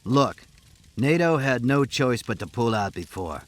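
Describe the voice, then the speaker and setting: An actor playing a blunt, confident soldier in a game, speaking in a plainspoken way. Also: male voice, mid-range voice, sing-song, unhurried, dry recording. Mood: insistent, confident. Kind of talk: scripted military game dialogue. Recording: faint ambient noise behind.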